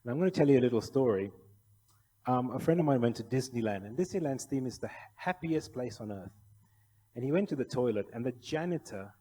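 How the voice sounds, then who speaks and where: Male conference presenter from Malaysia, soft-edged warm tone, persuasive and rhetorical, recorded in an echoing hall. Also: mid-range voice, expressive, brisk, breathy edge. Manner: persuasive, rhetorical questions to the audience. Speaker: conference speaker, kennel federation official. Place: large indoor conference hall. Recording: echoey hall with long reverb.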